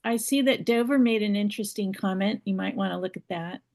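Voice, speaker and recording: A woman's voice: medium pitch, fairly quick, a little mellow. A woman co-hosting a video call, light and friendly. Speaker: co-host. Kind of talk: casual video-call chat.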